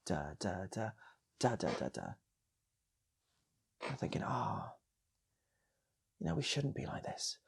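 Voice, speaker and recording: A man improvising a comic story in a soft, breathy ASMR style, often repeating phrases and slipping into whispers. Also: on the low side, huge pitch swings, takes it slowly, long pauses, slight background noise. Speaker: storyteller, channel host.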